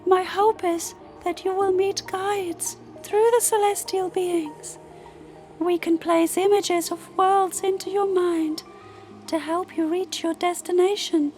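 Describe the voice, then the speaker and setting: A woman voicing a gentle, solemn celestial moon spirit in a fantasy audio drama, speaking formally and with measured care. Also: very high voice, steady tone. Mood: solemn, instructing. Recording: music bed under voice.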